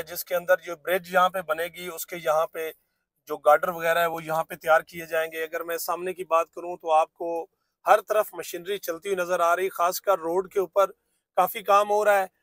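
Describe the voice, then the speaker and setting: A man's voice: high-pitched, fairly bright tone, no echo. A man giving a fluent, salesy narrated site tour from inside a moving car. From Pakistan. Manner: fluent salesman patter with filler phrases. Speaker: real estate vlogger.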